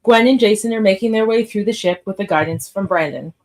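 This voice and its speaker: A woman's voice: mid-range voice, fairly quick, a little mellow. Female narrator, mid-range voice, reading scripted stage directions for a live radio drama.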